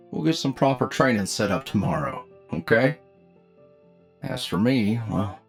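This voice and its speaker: A man playing a friendly, easygoing bounty hunter who mentors a rookie partner in a folksy, reassuring way, in an anime audio roleplay. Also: medium pitch.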